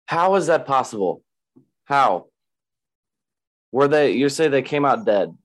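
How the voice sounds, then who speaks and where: A man hosting a casual sports chat, his voice raspy and hoarse, rambling and restarting as he talks. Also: medium pitch, lively intonation, measured pace. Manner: rambling, self-interrupting, laughs often. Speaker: podcast host.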